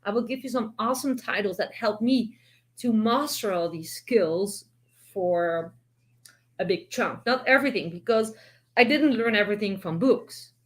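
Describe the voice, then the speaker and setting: A woman's voice: mid-range voice, animated, moderate pace. A middle-aged Dutch woman with a Dutch accent, speaking English as a second language, giving earnest, encouraging vlog advice as an online business coach, with frequent restarts. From Netherlands. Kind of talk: direct-to-camera vlog advice.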